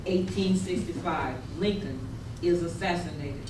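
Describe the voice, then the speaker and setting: A woman's voice: fairly low pitch, measured pace. A woman formally announcing dates and events from a script in a readers theater. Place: echoey room, live rehearsal. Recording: echoey, distant, noisy rehearsal recording.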